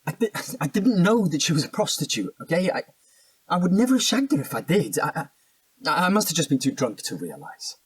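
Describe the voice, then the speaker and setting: Husky, defensive British suspect. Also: male voice, on the high side, sing-song, brisk. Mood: flustered, evasive. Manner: defensive, faltering. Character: volatile, defensive male murder suspect under interrogation. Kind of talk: audio drama dialogue.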